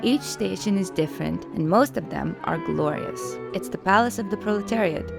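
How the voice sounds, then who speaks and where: A woman's voice: medium pitch, moderate pace, creaky, over loud music. A young woman from Russia narrating an earnest, opinionated video essay as its host.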